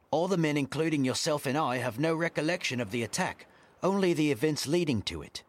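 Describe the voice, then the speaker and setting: A man's voice: mid-range voice, slight background noise, natural voice. A man as a formal, dutiful first mate. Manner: formal, measured, respectful. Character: dutiful, cautious first mate, deferential to captain. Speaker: actor in audio drama. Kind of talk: nautical audio drama acting.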